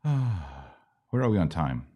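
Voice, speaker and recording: A man's voice: a bass voice, sing-song, slow, mellow, husky, dull audio. A man hosting a conversational religious question-and-answer podcast, teaching emphatically and repeating key words for stress. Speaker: podcast host.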